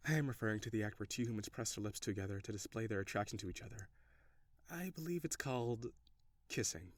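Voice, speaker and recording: A man's voice: lowish voice, no room sound. A man playing a curious, reserved alien in an intimate audio roleplay, speaking in stiff, formal, precise phrasing. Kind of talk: intimate scripted audio roleplay addressed to the listener.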